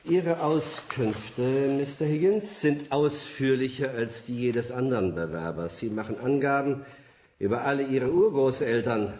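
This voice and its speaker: An actor playing a polite, formal interviewer who runs an experiment in a radio play, measured and courteous. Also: male voice, medium pitch, darkish tone, a little hiss or hum, lightly acted. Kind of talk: German radio play acting.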